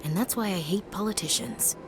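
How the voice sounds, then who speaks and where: A woman's voice: medium pitch, a little fast, over music, lightly acted. An actress playing a spirited, outspoken young female soldier in a video game. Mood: disdainful.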